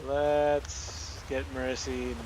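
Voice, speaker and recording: A man hosting a gaming video with casual, halting, unscripted commentary. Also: mid-range voice, level tone. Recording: faint game noise behind voice. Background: video game ambience.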